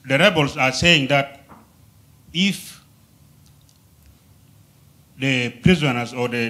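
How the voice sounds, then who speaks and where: A man from South Sudan stands in the audience to ask a panel a question. His delivery is formal and deliberate, with pauses between phrases. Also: medium pitch, a bit bright. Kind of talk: public question at a forum.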